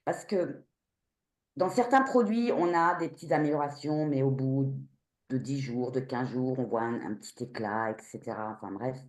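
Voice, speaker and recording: Blunt outspoken woman, 50s, low voice, echoey room on a video call. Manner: blunt, outspoken, animated and quick. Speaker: customer giving a testimonial. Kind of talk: frank casual testimonial on a video call.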